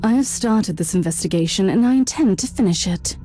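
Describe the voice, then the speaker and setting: A woman playing a resolute, cool-headed inquisitor in a science-fiction game, speaking in a measured, formal, authoritative way. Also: mid-range voice, animated, mellow, close to an everyday voice. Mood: firm.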